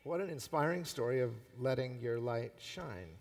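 A man's voice: mid-range voice, normal speed, in a large hall, crowd noise. A middle-aged man hosting an interview on a convention stage, affirming and encouraging his guest. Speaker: interviewer host. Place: large convention hall stage.

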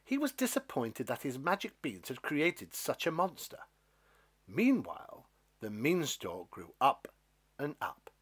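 A man reading a children's story as narrator, with expressive, scripted delivery. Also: a little high, unhurried, slightly rough. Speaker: storybook reader.

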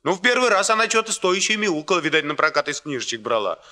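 Young soviet factory playboy in a radio play, cocky and sorry for himself, bright lively voice. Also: male voice, on the high side, quick pace. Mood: aggrieved, self-pitying. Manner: emotional, colloquial, self-justifying. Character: cocky, self-pitying young Soviet factory worker and womanizer.

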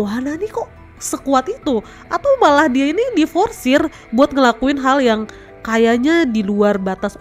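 A young woman casually telling a story to her viewers in a chatty, conversational way. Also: sits high. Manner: chatty, addresses viewers as guys. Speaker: host. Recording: faint background music.

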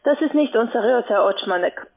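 A woman's voice: a little high, expressive, fairly quick, vocal fry. A woman working as a call center agent, speaking formally and insistently in a sales call. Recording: tinny band-limited phone line.

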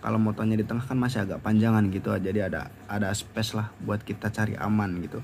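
Low-pitched young Jakarta man, casual. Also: steady tone, fast, creaky, darkish tone, slight background noise. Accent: Jakarta Indonesian. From Indonesia. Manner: informal, slangy, conversational. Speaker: motovlogger giving a DIY tutorial. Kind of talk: casual unscripted tutorial chat.

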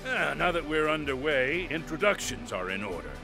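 An actor playing a hearty fishing boat skipper in an audio drama. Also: male voice, a little high, sing-song, normal speed, over music. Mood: genial.

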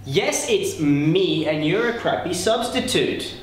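A young man delivers exasperated, sarcastic lines in an amateur short film. His voice moves through a wide, lively pitch range, sitting slightly high for a man. The echoey school hallway adds reverb and a faint hiss. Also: slow pace. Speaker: student actor.

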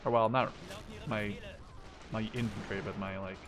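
A man's voice: lowish voice, fairly even intonation, normal speed, a bit bright. A man in his twenties chatting casually during gameplay, often swearing and exclaiming. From Canada. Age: 20s. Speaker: gamer, video creator. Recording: game gunfire and explosions behind. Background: war game gunfire, artillery.

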